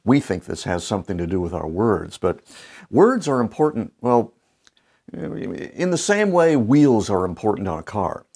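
A man in his fifties hosting a marketing show, speaking to camera in a playful, lively, gently joking way on a lapel mic in a studio. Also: lowish voice, a little slow, husky. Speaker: marketing coach and show host. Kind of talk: scripted talk to camera. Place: bright studio set.

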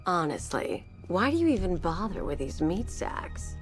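A woman's voice: on the low side, sing-song, measured pace, faint music behind. A woman voicing a haughty, sardonic villainess in a video game, drawling with seductive disdain for humans.

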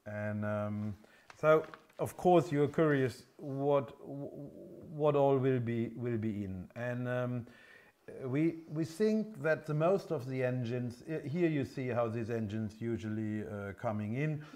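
A man in his fifties with a continental European accent, a non-native English speaker explaining things off the cuff in a halting, hesitant way as a workshop presenter. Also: mid-range voice, a little mellow. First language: not English. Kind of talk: unscripted product explanation. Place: indoor engine workshop showroom.

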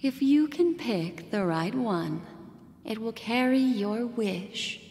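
A woman voicing a mysterious fortune-teller in a video game, telling a slow, soothing bedtime-story recap. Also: fairly high pitch, lively intonation, vocal fry, a little mellow, a little hiss or hum, full character voice. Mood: soothing, enigmatic. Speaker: game character narrating a recap. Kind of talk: video game cutscene voice acting. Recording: game audio with long reverb and music.

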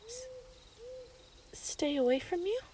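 A woman's voice: very high-pitched, big pitch swings, slow, dark tone, a little hiss or hum, full character voice. A woman playing an obsessive, lovestruck young female werewolf in a yandere ASMR roleplay, menacing and possessive. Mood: pleading, confiding.

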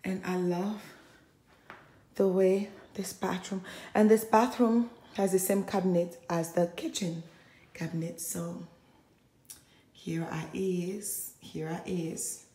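A woman narrates a casual house tour for her viewers. Her voice is mellow and kept low, as if not to disturb others. She takes her time and gushes admiringly, chatting as she walks from room to room with the camera in hand. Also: steady tone, slow pace. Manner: hushed, keeping voice low; admiring, gushing. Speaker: home tour vlogger. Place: indoors, walking through a furnished model home. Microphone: handheld phone or camera held by the speaker.

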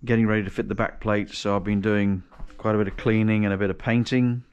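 A man with a lowish voice talks through hands-on work in a big indoor garage workshop. He thinks aloud as he goes, often restarting and correcting himself. The sound comes from a camera strapped to his chest. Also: little pitch movement, fast, darkish tone, smooth, dull audio. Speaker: home mechanic vlogger. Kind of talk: casual workshop narration. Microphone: chest-mounted action camera.

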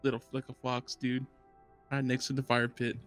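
A man's voice: medium pitch, monotone, moderate pace, a bit bright, no room sound. A young man giving casual, exclamatory, sweary reaction commentary on a film into a close studio mic. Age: young adult. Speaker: reaction video co-host. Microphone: close studio mic on boom arm. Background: film music.

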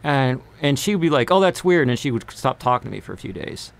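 A man's voice: mid-range voice, lively intonation, quick pace, vocal fry. The guy in his bedroom studio late in a small livestream, leaning by the mic and rambling casually to a few online friends. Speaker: live streamer and singer-songwriter. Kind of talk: casual live stream chat. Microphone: large condenser mic on boom arm, close.

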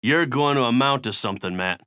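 A man plays a weary prizefighter father in a comic-book audio drama. The mid-pitched male voice is stern, firm and earnest, on a dry, dull recording. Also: brisk, pushed and bright, clean tone, no echo, close to an everyday voice.

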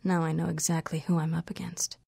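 An actress playing a cocky half-vampire huntress in a video game, with a sultry, sarcastic, flippant manner. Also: female voice, deep voice, little pitch movement, a little fast, creaky, warm tone, husky, heavily acted. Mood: cocky, mocking. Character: sardonic, cocky half-vampire woman hunter. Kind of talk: video game cutscene acting. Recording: game audio with music behind.

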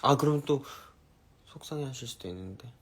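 A man's voice: medium pitch, expressive, fairly quick. A young man in his twenties, chatting playfully and giggling on a casual live stream.

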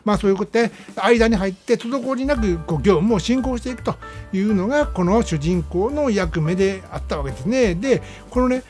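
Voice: mid-range voice, expressive, a little fast, a little mellow. A radio host with a Kansai Japanese accent, chatting casually through a movie review in an explanatory, conversational way. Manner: conversational, explanatory, frequent "ne" tags. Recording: music playing behind the voice. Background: background music.

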